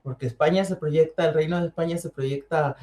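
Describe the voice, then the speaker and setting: A woman's voice: low voice, steady tone, fairly quick, dark tone. Candid Mexican woman on a panel. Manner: candid, conversational, many fillers and restarts. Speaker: panel guest. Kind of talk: online panel discussion.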